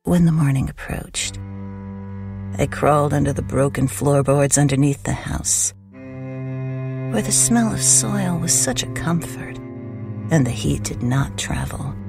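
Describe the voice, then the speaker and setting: A woman playing a sinister, patient witch in a dark horror tale, speaking deliberately and dropping into hushed whispers. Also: sits low, vocal fry, over music. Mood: gleeful menace. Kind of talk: dramatic first-person horror storytelling.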